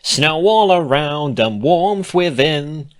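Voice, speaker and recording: A man voicing an excitable, teasing female pony in a squealing, singsong, exclamatory manner. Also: fairly high pitch, slow pace, pitched above the performer's own voice.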